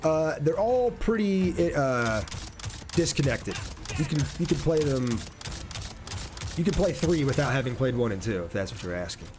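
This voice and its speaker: A man's voice: fairly high pitch, big pitch swings, moderate pace, noisy background. A man doing casual live gameplay commentary and chatting with viewers in a laid-back, slangy way. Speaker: game streamer.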